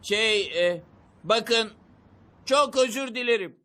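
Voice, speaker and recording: A man's voice: high-pitched, slow, slight background noise, heavily acted. A man voicing a bumbling, sheepish young slacker full of excuses in a dubbed cartoon. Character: bumbling, apologetic young slacker driver in trouble. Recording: loud music behind the voice.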